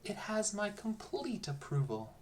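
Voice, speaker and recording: Vain, anxious storybook emperor acted by a narrator, pitched high and swooping, grand and theatrical. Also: very animated, a little slow, darkish tone, slight background noise. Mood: falsely delighted.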